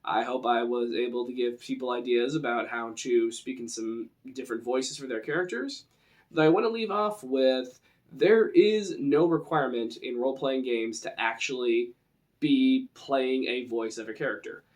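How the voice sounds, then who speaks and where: A man hosts an informal role-playing tutorial. His voice sits at a medium pitch. He explains things casually, as if chatting to viewers through the camera. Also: normal speed. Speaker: role-playing game presenter.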